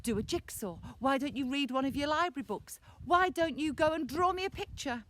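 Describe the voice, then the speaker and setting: A brisk, busy mum in a children's story, played by a woman storyteller. Her voice is fairly high, firm and coaxing. Some noise sits behind it. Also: lively intonation, fairly bright tone.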